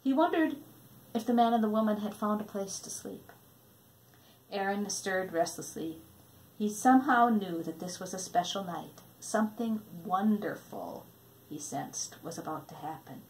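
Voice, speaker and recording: A woman's voice: mid-range voice, lively intonation, normal speed, darkish tone, rough recording. A woman narrating a children's storybook with dramatic expression, shaping each phrase for tender or suspenseful effect. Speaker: storybook reader.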